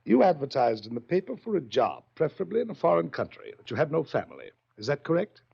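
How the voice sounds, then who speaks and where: Radio villain: middle-aged, urbane, faintly menacing gentleman, husky and gravelly, dull vintage recording. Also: on the high side, wildly swooping pitch, fast, exaggerated voice. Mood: urbane, measured. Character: charming, cultured big iron-haired man, menacing yacht host. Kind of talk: 1940s radio acting.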